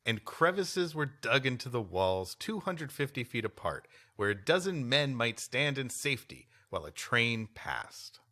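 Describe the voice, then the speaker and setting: Bright, animated male podcast co-host. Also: medium pitch, measured pace, few pauses. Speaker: podcast co-host and story reader. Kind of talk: casual comedic chat mixed with reading aloud.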